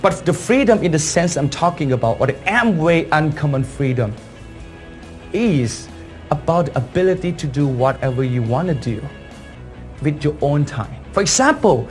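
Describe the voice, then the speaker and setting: A man's voice: on the high side, lively intonation, normal speed. A man from Thailand with a Thai accent speaks as a business leader giving an emphatic, repetitive motivational presentation full of rhetorical questions. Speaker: network marketing leader and speaker. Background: background music.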